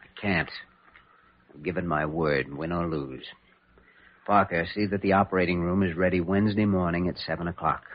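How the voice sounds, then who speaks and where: An actor playing an earnest, resolute young surgeon in an old radio drama, speaking in a measured, grave way. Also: male voice, sits low, fairly even intonation, noisy background, natural voice. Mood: firm, urgent. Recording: muffled old radio recording.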